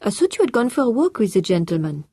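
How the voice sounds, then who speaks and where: A woman voicing a dutiful older French housekeeper who speaks formal, halting English with a French accent. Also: medium pitch, sing-song, dark tone, husky, dry recording, pitched above the performer's own voice.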